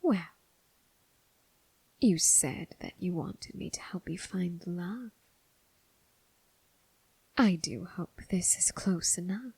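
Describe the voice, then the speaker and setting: A woman voicing a seductive, predatory female demon in a teasing, whispered ASMR roleplay, speaking slowly and intimately to the listener. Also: lowish voice, warm tone, dry recording, exaggerated voice. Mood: possessive, seductive. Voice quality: breathy, mostly whispered. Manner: slow, deliberate, teasing, coaxing.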